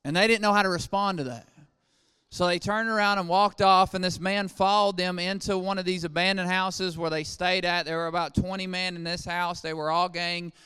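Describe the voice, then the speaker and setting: A man's voice: sits high, steady tone, normal speed, bright, forceful tone, clear, resonant tone. A man from Eastern Kentucky leading a ministry, preaching in an earnest, plainspoken way with frequent fillers. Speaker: ministry leader. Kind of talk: preaching testimony talk. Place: church sanctuary. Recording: long reverb of a church hall.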